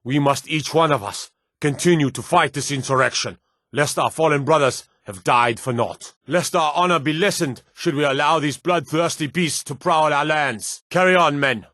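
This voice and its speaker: An actor playing a stern, dutiful fantasy guard and soldier in a video game, formal and commanding, with measured delivery. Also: male voice, fairly high pitch, a bit bright, no room sound, clean studio sound, natural voice. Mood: rousing, solemn. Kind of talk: scripted fantasy game dialogue.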